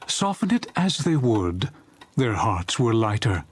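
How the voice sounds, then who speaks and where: A man narrating a dramatized story in a solemn, expressive manner. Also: fairly low pitch, a little slow, slightly rough.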